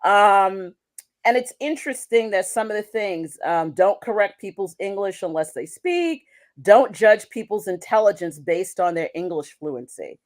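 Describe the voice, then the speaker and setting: A woman's voice: medium pitch, expressive, unhurried. A woman who works as an international manager, speaking as a guest in a conversational interview. She talks reflectively, restarting sentences and asking rhetorical questions. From United States.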